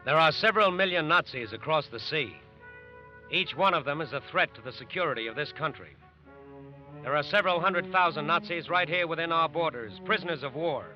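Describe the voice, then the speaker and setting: Grave, higher-pitched American radio narrator man. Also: expressive, fairly quick, faint music behind. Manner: grave, emphatic, declamatory. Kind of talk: 1940s radio docudrama narration. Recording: muffled vintage radio broadcast recording.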